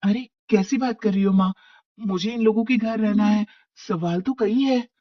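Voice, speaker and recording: A woman's voice: moderate pace, lightly acted. A woman voicing a feisty young village bride obsessed with washing clothes, dramatic and indignant. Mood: eager. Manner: shrill, dramatic, indignant outbursts. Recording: slightly dull lo-fi recording.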